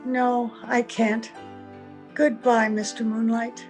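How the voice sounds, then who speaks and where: An actress playing a gentle, wistful and strange young woman in an old-time radio drama, tender and earnest. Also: a little high, little pitch movement, slow pace, soft background music.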